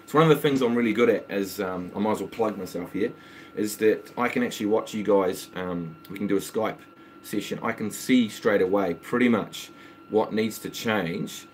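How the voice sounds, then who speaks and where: A man's voice: fairly low pitch, normal speed, a little mellow, a little hiss or hum, low-quality recording. A man who teaches guitar, chatting casually on a live stream at home and working through viewer song requests in a rambling, easygoing way. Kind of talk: casual live-stream chat between songs. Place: home, with a water pump running in the background.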